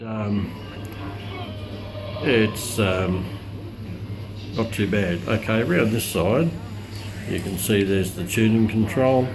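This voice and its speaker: Male, middle-aged, lowish voice, informal hobbyist demo, halting and unhurried, music clearly playing behind. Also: low-quality recording. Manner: hesitant, unhurried explaining with pauses. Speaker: radio collector presenting a review. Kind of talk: casual unscripted product demonstration. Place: indoors, at a tabletop with the radio. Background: radio broadcast playing in the background.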